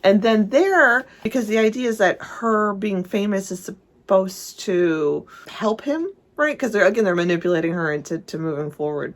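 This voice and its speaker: A woman giving casual, unscripted film commentary to viewers in a chatty, rambling, self-deprecating way. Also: low voice. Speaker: video essayist reviewing a film.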